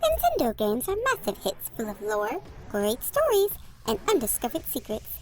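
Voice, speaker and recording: A woman's voice: a little high, wildly swooping pitch, moderate pace, soft background music. A woman reading a scripted, tongue-in-cheek promo as an announcer.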